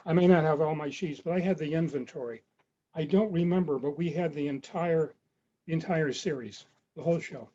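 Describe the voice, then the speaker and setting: A man's voice: fairly high pitch, dark tone. An older man, a club member, casually reminiscing on a video call and piecing details together as he goes. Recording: dull, noisy video-call audio.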